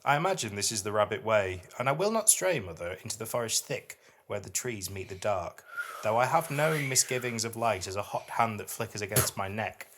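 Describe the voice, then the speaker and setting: A man's voice: lowish voice, lively intonation, brisk, a bit bright, slight background noise. A middle-aged man hosting a podcast, moving between casual, reflective chat and reading poetry aloud. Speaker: podcast host.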